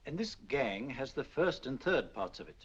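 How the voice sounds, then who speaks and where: An actor playing an official police commissioner in an old film, brisk and businesslike. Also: male voice, mid-range voice, big pitch swings, slightly rough. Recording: dull lo-fi old film soundtrack.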